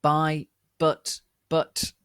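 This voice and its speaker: A man voicing a sensitive, angsty teenage goth boy, overwrought and dramatically wounded as he shouts or stammers. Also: a little high, completely flat delivery, drawn-out words, smooth, no room sound, studio quality, pitched above the performer's own voice. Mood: flustered.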